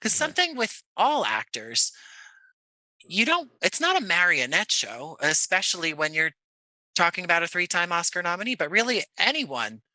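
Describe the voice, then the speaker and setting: A man speaking as an interview guest, answering reflectively and conversationally, often pausing to rephrase. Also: high voice, very animated, sharp tone, no room sound, low-quality recording. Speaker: interview guest, actor and audio drama creator.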